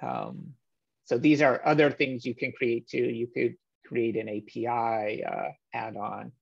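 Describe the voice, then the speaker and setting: Gravelly-voiced man explaining code. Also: medium pitch, unhurried. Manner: relaxed, explanatory, many "um" fillers. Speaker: meetup presenter. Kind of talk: screen-share coding walkthrough. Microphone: webcam or laptop mic on a video call. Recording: slightly dull video-call audio.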